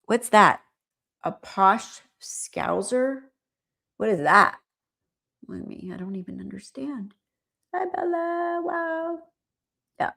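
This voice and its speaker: Chatty American woman with gravelly fry. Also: on the high side, takes it slowly, long pauses. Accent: General American. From United States. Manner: chatty, animated, rambling. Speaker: livestream host. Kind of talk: casual livestream chat.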